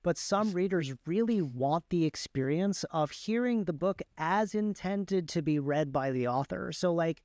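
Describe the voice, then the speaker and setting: A man's voice: fairly high pitch, animated, a little slow, few pauses, darkish tone, dry recording, studio quality. A man explaining things as an interview guest, in detail and with emphasis, often backtracking to qualify his points. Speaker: guest, indie author and audiobook narrator.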